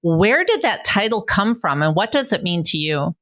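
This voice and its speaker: A woman's voice: medium pitch, big pitch swings, moderate pace, a bit bright. A woman from Michigan hosting an interview podcast, talking eagerly and laughing often. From Michigan, United States. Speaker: podcast host. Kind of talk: conversational interview. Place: home in South Sudan.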